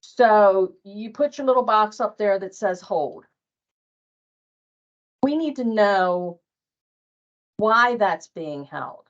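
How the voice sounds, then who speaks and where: A woman's voice: medium pitch, animated, takes it slowly, pauses often, dull audio. A woman giving an informal workplace training talk as a billing trainer, emphatic and repeating her points for stress.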